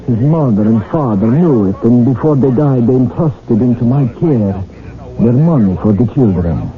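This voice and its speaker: A man acting in a 1970s radio drama as a guilt-ridden older professor, theatrical and halting in his delivery. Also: on the low side. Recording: tinny, band-limited 1970s radio recording.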